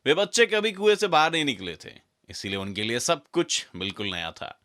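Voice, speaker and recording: A man's voice: a little high, big pitch swings, moderate pace, sharp tone. A man narrating a scripted children's fable as a storyteller.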